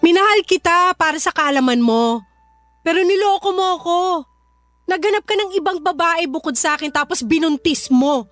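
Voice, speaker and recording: A young Filipino woman who is hurt and fed up. Her voice sits extremely high and she scolds with firm emphasis. It is radio drama, with soft music underneath. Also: lively intonation, normal speed, no echo. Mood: indignant. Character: hurt, indignant young woman standing up for herself. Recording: music behind the voice.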